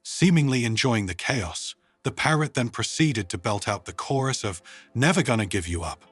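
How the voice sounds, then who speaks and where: A man narrating a humorous science fiction story in an expressive, scripted storytelling style. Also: medium pitch, normal speed, husky, no room sound, faint music behind.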